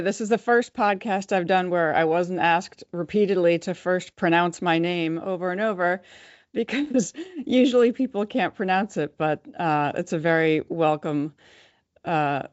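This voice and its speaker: A woman from the United States talks as a podcast guest, thoughtful and measured. Her voice is smooth and resonant, heard close and dry with a slightly dull tone. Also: on the low side, dry recording. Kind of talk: podcast interview.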